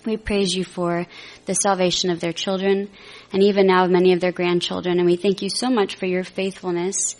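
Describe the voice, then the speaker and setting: A woman hosting a church program who thanks the guest and leads a public prayer with a reverent, steady cadence. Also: fairly low pitch, brisk, poor audio. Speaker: program host.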